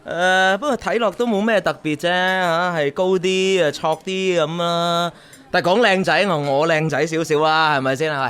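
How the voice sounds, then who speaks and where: Actor as high-voiced smug rich young Hong Kong man. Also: steady tone, moderate pace, fairly bright tone, smooth, soft background music, close to an everyday voice. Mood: smug teasing. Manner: showy, smug, peppers talk with tags and English. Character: boastful, condescending rich young ex-boyfriend, a spoiled heir. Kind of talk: radio drama acting.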